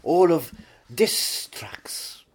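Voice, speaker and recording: Welsh guy for comic banter: husky, lively delivery, deadpan boasts and daft wordplay. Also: on the high side, extremely slow, in a whisper.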